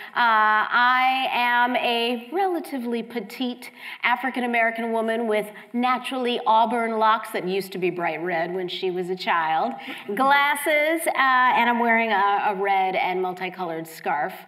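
A woman's voice: a little high, fairly bright tone. Stuffy-nosed chatty woman panelist. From Chicago. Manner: chatty, informal, many fillers. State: stuffy from a cold. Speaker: county arts agency director, panelist. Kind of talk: casual panel talk. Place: large theater stage.

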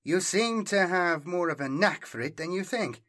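A man's voice: high voice, animated, moderate pace, a bit bright, pitched above the performer's own voice. A man voicing a smug, self-assured male fugitive in an audiobook, superior and teasing in manner. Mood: amused, teasing. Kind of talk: audiobook character dialogue.

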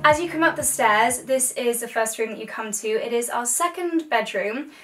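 A woman's voice: on the high side, moderate pace, a bit bright, soft background music. A young woman with an English accent, giving an informal, chatty house tour to camera from indoor rooms. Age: young adult. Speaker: lifestyle vlogger and host. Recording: echoey rooms, distant mic, some noise.

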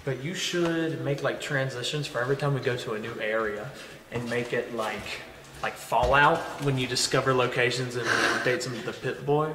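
A young man exploring an abandoned factory with a friend, talking in an excitable, eager way and swearing often. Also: mid-range voice, normal speed. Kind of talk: casual chat. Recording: picked up by a camera mic with outdoor noise.

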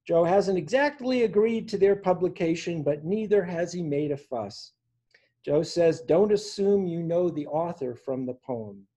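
A man in his sixties reading his own poems for an online open mic, with a measured, deliberate delivery. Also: on the high side, dark tone. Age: 60s. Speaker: poet reading own work. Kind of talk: poetry reading at a virtual open mic, with informal introductions. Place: small room indoors at home.

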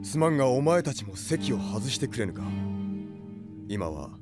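A man's voice: medium pitch, sing-song, moderate pace, over loud music, close to an everyday voice. A man playing an authoritative, reserved young prince and commander in an anime audio drama. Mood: grave, restrained.

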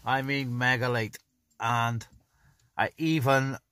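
A man's voice: medium pitch, fairly even intonation, takes it slowly, bright, forceful tone, slight background noise. A man chatting casually as an amateur CB radio operator, recorded inside a parked car.

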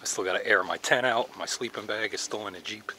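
A man's voice: lowish voice, brisk, bright, forceful tone, a little hiss or hum. A middle-aged man chatting casually and enthusiastically while hiking wooded mountain trails outdoors, recorded on an action camera. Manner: enthusiastic, musing aloud while walking. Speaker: hiking vlogger.

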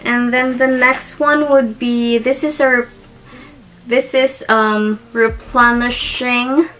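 A woman's voice: a little high, steady tone, slow pace, a bit bright, soft background music, low-quality recording. A young woman with a Filipino accent, talking casually through a beauty haul with lots of ums and little giggles. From Philippines. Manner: chatty, giggly, with many ums and restarts. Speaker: beauty vlogger.

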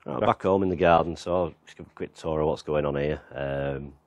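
A man with a very deep, low voice talks his way around his allotment and garden. His delivery is relaxed and rambling, with plenty of ums and you-knows. He is outdoors, filming on a handheld camera. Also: a little fast, no echo. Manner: relaxed, rambling, lots of fillers. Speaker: gardening vlogger. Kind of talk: casual walk-around garden tour.

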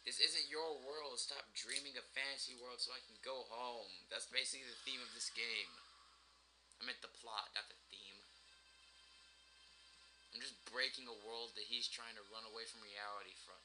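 A young man with a very bright, forceful voice and middling pitch rambles through a video game. He is casual and sardonic, cursing as he complains. The amateur recording carries a light layer of noise. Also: fairly even intonation, moderate pace, rough recording. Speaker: gamer, let's play host. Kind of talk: casual gameplay commentary. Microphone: shared microphone, home gameplay recording.

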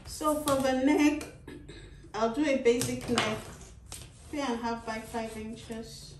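A woman's voice: a little high, slow pace, noisy background. A woman in her thirties with a Nigerian accent, giving a chatty sewing tutorial and often tagging her sentences with "okay?". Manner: lively, animated, tags phrases with "okay?". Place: small indoor sewing studio. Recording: roomy, slightly distant.

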